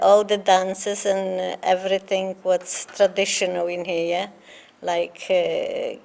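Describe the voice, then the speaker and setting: An older woman with a Polish accent, Polish her first language, telling her life story in an interview, halting with many fillers and pauses. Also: mid-range voice, a little mellow. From Poland. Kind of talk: oral history interview.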